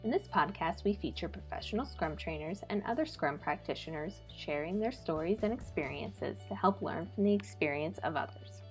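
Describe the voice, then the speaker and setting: A woman reading a scripted podcast introduction in a smooth, even way. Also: fairly low pitch, normal speed, few pauses. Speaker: podcast announcer. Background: faint music.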